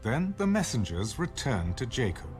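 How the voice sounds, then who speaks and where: A man's voice: mid-range voice, big pitch swings, moderate pace, darkish tone. A man narrating scripture as a scripted, dramatic audio Bible reading. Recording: music bed under the voice.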